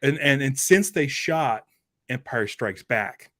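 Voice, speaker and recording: A man's voice: medium pitch, animated, slow pace, fairly bright tone, dry recording, studio quality. A man hosting a podcast, talking about film in a casual, enthusiastic, conversational way like a fan.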